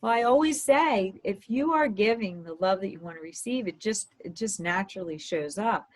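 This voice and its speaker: A woman dating coach with a slightly hoarse voice, giving upbeat advice in conversation. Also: mid-range voice, expressive, normal speed. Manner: upbeat, advising. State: slightly hoarse from talking all day.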